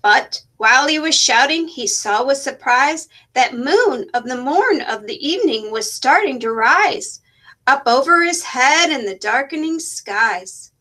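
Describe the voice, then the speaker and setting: A woman reading a rhyming children's story aloud as a teacher would, with expressive narration. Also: high-pitched, measured pace.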